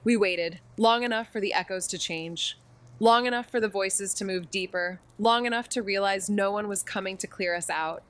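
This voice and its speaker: An actress playing a wry, chatty young amateur sleuth in a fiction podcast, with a casual, wisecracking manner. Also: female voice, mid-range voice, moderate pace, a little hiss or hum, natural voice. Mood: reflective narration. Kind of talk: fiction podcast drama acting and narration.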